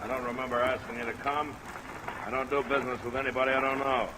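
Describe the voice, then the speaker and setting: A man acts a stubborn, menacing rancher in a vintage radio drama. His voice sits at a middling male pitch and his words are curt and rough-tempered. Heavy rain noise runs over the old recording. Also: level tone, fast, natural voice. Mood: suspicious, curt. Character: hostile, hot-tempered rancher with a rough reputation.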